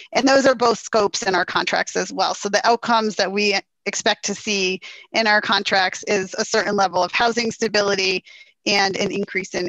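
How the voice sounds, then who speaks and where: A woman's voice: medium pitch, a bit bright, no echo, rough recording. A woman in her forties, a city official, formally explaining and answering questions over a headset on a video call. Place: indoor room at home or office.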